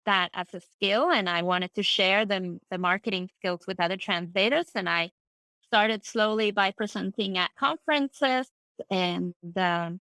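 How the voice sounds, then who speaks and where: A middle-aged woman from Finland whose first language is Swedish, a translator talking about her career as an interview guest, relaxed and thoughtful, with plenty of fillers and restarts. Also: medium pitch, unhurried, no echo, rough recording. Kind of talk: casual conversational interview.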